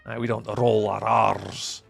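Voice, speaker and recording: A young Scottish man from the east coast, live-streaming and chatting to viewers in a teasing, up-and-down way, from loud bursts to low mutters. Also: little pitch movement, measured pace, creaky, no echo, soft background music. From east coast of Scotland. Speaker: livestreamer.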